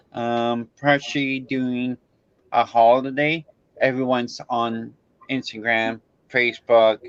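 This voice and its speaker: A man hosting a podcast in casual, halting, loosely phrased chat. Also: mid-range voice, no echo, lo-fi sound. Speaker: podcast host. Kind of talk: casual remote interview chat.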